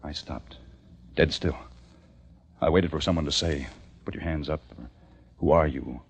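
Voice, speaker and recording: An actor playing a haunted, educated young American survivor, narrating intensely in the theatrical style of old-time radio drama. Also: male voice, extremely low pitch, measured pace, creaky, exaggerated voice. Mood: tense, hushed. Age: young adult. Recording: vintage radio recording with music behind.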